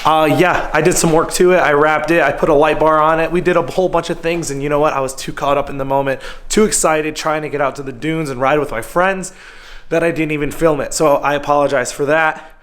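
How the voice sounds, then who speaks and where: A young man with a raspy, hoarse voice from a cold, chatting casually and upbeat in his home garage vlog. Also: a little high, steady tone, brisk, fairly bright tone. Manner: chatty, upbeat and self-deprecating. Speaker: vlogger. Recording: music playing under the voice. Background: music.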